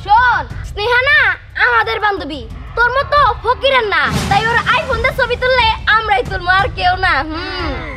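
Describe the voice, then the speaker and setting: Woman acting as mocking young bully, Bangladeshi accent, extremely high voice, distant from mic, cartoon comedy. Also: expressive, normal speed, hardly any pauses, heavy background noise. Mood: scornful. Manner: taunting. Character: mocking, boastful young friend, iPhone-obsessed bully. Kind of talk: cartoon comedy drama dialogue.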